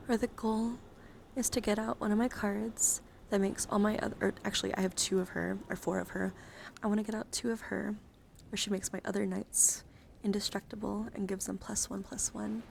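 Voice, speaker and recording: A woman streams ASMR in a gentle mix of whispers and soft talk. She is chatty and encouraging, with an ordinary mid-range pitch. There is noticeable background noise in the recording. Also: little pitch movement, moderate pace, gravelly. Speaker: livestream host. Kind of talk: whispered ASMR, showing and reading out trading cards. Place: indoor livestream, hands-on camera.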